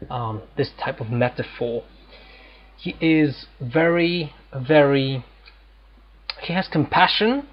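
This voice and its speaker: A man giving casual, unscripted gameplay commentary, explaining things haltingly as he searches for words. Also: medium pitch, breathy edge. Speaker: gamer hosting a playthrough. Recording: dull lo-fi mic, faint noise.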